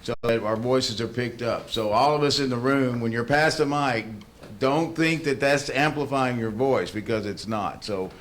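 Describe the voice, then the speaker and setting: A man hosting a conference, speaking informally and haltingly to the room, often repeating words. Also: medium pitch, fairly bright tone. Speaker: event host. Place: good-sized meeting room. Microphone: livestream microphone, not amplified in room. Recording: roomy echo, faint background noise.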